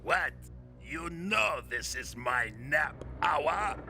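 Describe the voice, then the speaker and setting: Pompous, slow-drawling villain boss. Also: male voice, on the high side, expressive, gravelly, music in the background, exaggerated voice. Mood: irritated, scornful. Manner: drawn-out, scornful. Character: pompous, irritable alien industrial boss, contemptuous villain. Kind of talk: animated game villain acting.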